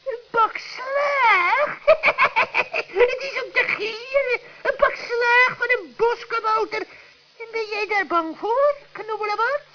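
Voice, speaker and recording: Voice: extremely high pitch, slow pace, pushed and bright, full character voice. A performer in a children's radio play voicing a spiteful, whining old witch with a theatrical, exclamatory delivery. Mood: mocking, cackling. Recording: muffled old radio recording.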